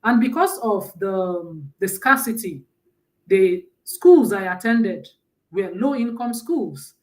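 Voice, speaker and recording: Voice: medium pitch, lively intonation, slow, a little mellow, far from the mic, poor audio. Storytelling speaker with a Nigerian accent. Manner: conversational, often asks "right?" and retells stories from memory. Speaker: guest speaker on mentorship. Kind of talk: personal storytelling talk.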